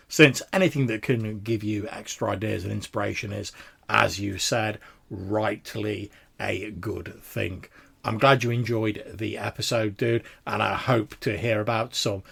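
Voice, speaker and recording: A man with a slightly low, gravelly voice hosts a hobby podcast. His tone is husky and rough-edged, and he talks casually, as if chatting back to a listener. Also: fairly bright tone. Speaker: podcast host.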